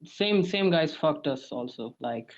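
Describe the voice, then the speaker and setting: A man from India, hosting a casual podcast conversation, halting and full of restarts and "like" fillers. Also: a little high, animated. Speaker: podcast host. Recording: dull lo-fi sound.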